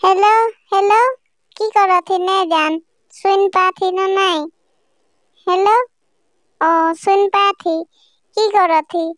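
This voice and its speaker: A woman's voice: extremely high pitch, fairly even intonation, takes it slowly, long pauses, fairly bright tone, no echo, clean studio sound, heavily acted. A woman voicing a young village girl who chats on her phone all day, in a cartoon. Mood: sweet chatty.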